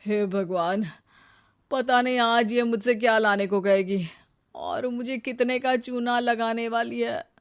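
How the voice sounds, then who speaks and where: A woman's voice: a little high, a little slow. A woman voicing an exasperated young married man who complains wearily about the fighting at home. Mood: suspicious. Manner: weary, complaining inner monologue. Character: exasperated young married man caught between mother and wife. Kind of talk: cartoon story voice acting.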